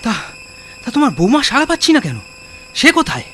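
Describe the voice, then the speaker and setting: A man playing an earnest, anxious village son in a cartoon, speaking with dramatic, halting urgency. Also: very high voice, wildly swooping pitch, pushed and bright, a little hiss or hum, full character voice. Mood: worried, urgent. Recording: music behind the voice.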